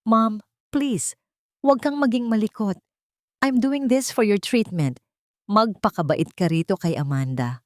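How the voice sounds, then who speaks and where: A woman's voice: medium pitch, measured pace, pauses often, no room sound, clean studio sound, pitched above the performer's own voice, close to an everyday voice. Woman voicing a curt, wealthy businessman son. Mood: cold, patronizing. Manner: firm, dismissive. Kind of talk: Tagalog audio drama storytelling.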